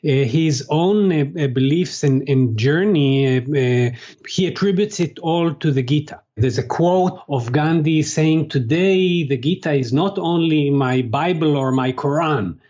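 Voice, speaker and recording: A man whose first language is Hebrew, answering interview questions as a translator, pausing often with fillers and restarts. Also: fairly high pitch, a little mellow, lo-fi sound. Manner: halting, many fillers and restarts. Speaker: guest, translator.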